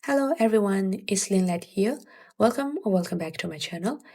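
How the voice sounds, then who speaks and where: Young woman with very creaky voice. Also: on the low side, darkish tone. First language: Burmese. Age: early 20s. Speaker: language-learning vlogger. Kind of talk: scripted advice narration to viewers.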